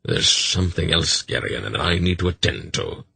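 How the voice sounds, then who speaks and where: A man voicing a terse, practical old sorcerer in a fantasy audiobook, brisk and matter-of-fact. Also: sits low, very animated, creaky, sharp tone, slightly rough, no echo, heavily acted.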